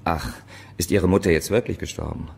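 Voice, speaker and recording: An actor playing a prickly, suspicious photographer, curt and evasive, in a German radio crime drama. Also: male voice, low-pitched, fairly even intonation, brisk, creaky, a little mellow, breathy edge, full character voice. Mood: dismissive. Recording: reverberant large room, background noise.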